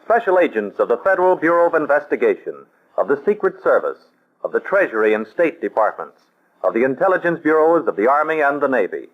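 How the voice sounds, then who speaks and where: A man's voice: mid-range voice, very animated, fast talker. A man announcing for a 1940s radio drama, stirring and emphatic. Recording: dull old radio with music.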